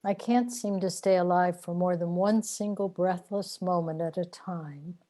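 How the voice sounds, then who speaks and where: A woman's voice: fairly low pitch, unhurried, warm tone, lightly acted. A woman playing a weary, plaintive immortal seeker in a sci-fi radio drama. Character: weary immortal seeker trapped in repeating lives.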